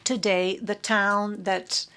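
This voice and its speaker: A woman interpreting a formal courtroom statement into English, measured and halting, correcting herself as she goes. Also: mid-range voice, level tone, sharp tone, smooth.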